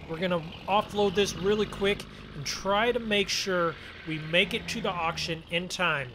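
Vehicle noise runs clearly behind a man with a somewhat high-pitched voice. He hosts a farming game video as casual roleplay. His delivery is chatty and folksy, as if talking viewers through the work. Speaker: gaming video host. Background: game vehicle engine sounds.

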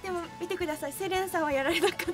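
A woman's voice: extremely high pitch, very fast. A woman co-hosting a show, chatting casually in short exclamations during game play. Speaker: radio show host. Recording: game music behind the voice.

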